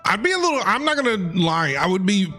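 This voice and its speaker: Animated woman streamer, chatty. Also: mid-range voice, quick pace. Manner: chatty, repeats short reactions. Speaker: game streamer. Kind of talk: casual live commentary on game dialogue. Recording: game music behind the voice.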